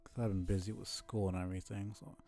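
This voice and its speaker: Young adult male streamer, lowish pitch, relaxed mellow tone, unhurried casual gameplay commentary. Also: creaky. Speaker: game streamer.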